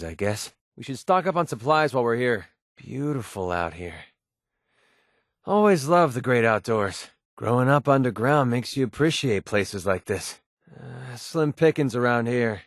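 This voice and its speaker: A man's voice: mid-range voice, dry recording, studio quality, close to an everyday voice. A man playing a cynical, wisecracking young mercenary sniper in a video game, speaking in an offhand, grumbling, sardonic way. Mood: dry, watchful. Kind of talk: video game companion dialogue.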